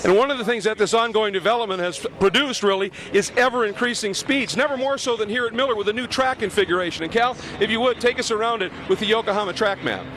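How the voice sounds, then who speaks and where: High-voiced man anchoring race coverage. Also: quick pace, hardly any pauses. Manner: smooth anchor handing off to reporters. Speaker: play-by-play host. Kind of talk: broadcast racing commentary. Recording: loud music bed underneath.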